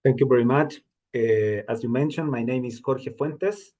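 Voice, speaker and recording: A man from Chile with a Chilean Spanish accent, introducing himself carefully on a video call, with halting restarts. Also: medium pitch, darkish tone. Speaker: panelist, IP practitioner. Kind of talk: webinar self-introduction.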